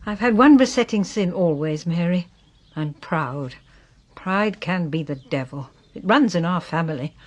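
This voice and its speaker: An actress playing a proud, wistful English widow in her sixties who is ailing, in a solemn, measured radio drama style. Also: lowish voice, expressive. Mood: reflective. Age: 60s.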